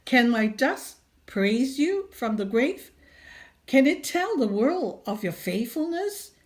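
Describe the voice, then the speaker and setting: A woman reading scripture aloud in a measured, earnest way. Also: high voice, very animated.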